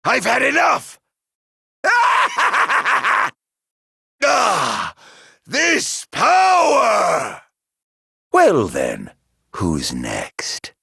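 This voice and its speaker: A man playing a middle-aged video game villain, sardonically genteel and flamboyant, with mock-polite, drawn-out delivery. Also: extremely high pitch, huge pitch swings, creaky, bright, forceful tone, husky, no room sound, raised voice, clean studio sound, exaggerated voice. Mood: furious, grandiose, then cold, soft menace. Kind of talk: video game battle voice lines.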